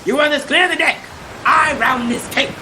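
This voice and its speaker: A woman's voice: sits high, moderate pace. A woman playing a proud, tyrannical male ship's captain, barking archaic seafaring orders in old-time radio style. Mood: raging, defiant. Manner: commanding, archaic seafaring declamation. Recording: loud storm noise behind.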